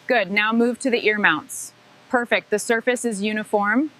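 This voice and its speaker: A woman leading a restoration crew, giving calm, clipped instructions in scripted workshop dialogue. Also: medium pitch, moderate pace, low-quality recording.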